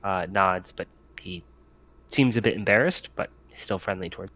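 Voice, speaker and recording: A man's voice: low voice, normal speed, a bit bright. A man working as game master, improvising narration for a tabletop roleplaying game and explaining things to the players.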